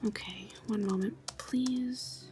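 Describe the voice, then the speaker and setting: A woman's voice: mid-range voice, steady tone, takes it slowly, music in the background, lightly acted. A harried airline worker acted by a woman speaks in a mid-range voice. She is polite, hesitant and apologetic, with a faint bed of sound behind her, as in an audio drama. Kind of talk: audio roleplay acting.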